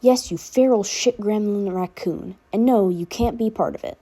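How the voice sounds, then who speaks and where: Sardonic, affectionate big-brother teen boy, female reader, casual rambling delivery, soft dark tone, slightly raspy. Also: mid-range voice, moderate pace, lightly acted. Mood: earnest, teasing. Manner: casual, rambling teen talk with filler words. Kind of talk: fan fiction audiobook character dialogue.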